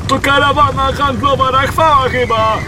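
A man playing a folksy, worried trader in a fantasy audio drama, giving familiar, drawn-out warnings. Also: very high-pitched, steady tone, noisy background. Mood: wry, cautioning. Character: gossipy, worried, folksy male roadside trader.

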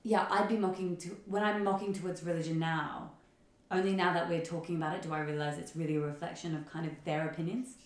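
A woman hosting a casual panel discussion, chatty and informal as she tells stories in an animated way. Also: fairly low pitch, fast. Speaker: podcast host. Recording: echoey room.

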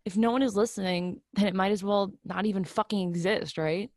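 Creaky, jaded young woman played by a woman. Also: medium pitch, a little fast, no room sound, studio quality, close to an everyday voice. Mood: despondent, bitter. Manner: blunt, sweary, deadpan. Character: jaded, despondent young woman stuck in a desk job. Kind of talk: play reading.

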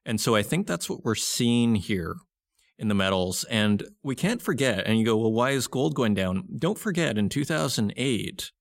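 A man's voice: mid-range voice, lively intonation, normal speed, vocal fry. A man hosting a podcast, giving conversational market commentary and explaining in short phrases as he thinks aloud. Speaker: podcast host.

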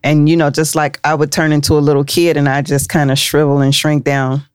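A woman's voice: low-pitched, flat delivery, brisk, smooth, no echo, studio quality. A woman from Cincinnati co-hosting a podcast, speaking earnestly and reflectively. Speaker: podcast co-host. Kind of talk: casual podcast chat.

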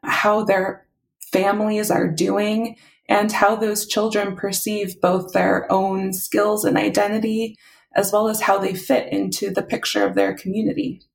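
A woman, a health policy advisor guesting on a podcast, explaining her points in a steady, methodical way. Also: mid-range voice, a little slow, gravelly, low-quality recording.

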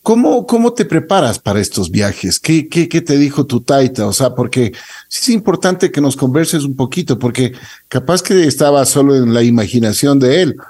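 A man's voice: medium pitch, big pitch swings, moderate pace, darkish tone, breathy edge. Male interview host, conversational delivery, mid-range voice.

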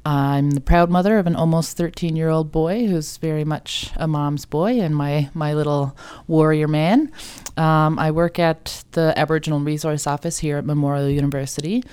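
A woman's voice: low-pitched, steady tone, moderate pace, a little mellow, clear, resonant tone. A woman from Newfoundland, a mother and student success coordinator, introducing herself earnestly with frequent hesitations. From Newfoundland, Canada. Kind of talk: guest self-introduction.

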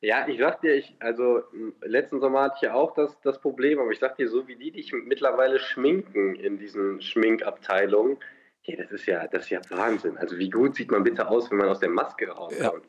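A man's voice: medium pitch, fairly quick. A man co-hosting a podcast remotely by phone, joking and teasing in casual banter. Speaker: podcast co-host. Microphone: phone over a messaging app. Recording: dull lo-fi phone audio.

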